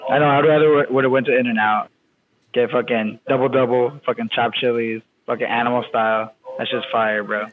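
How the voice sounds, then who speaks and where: A man's voice: medium pitch, steady tone, a little fast, a crowd in the background. A young man joining a podcast over a call, talking in a laid-back, casual way and swearing freely. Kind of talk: casual chat. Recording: tinny call line with faint hiss.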